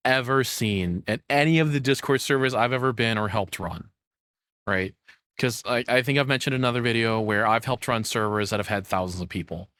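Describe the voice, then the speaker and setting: A man's voice: medium pitch, fairly bright tone, no room sound. Sarcastic man reacting casually, dry close sound. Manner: sarcastic, rambling, swears freely. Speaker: reaction streamer.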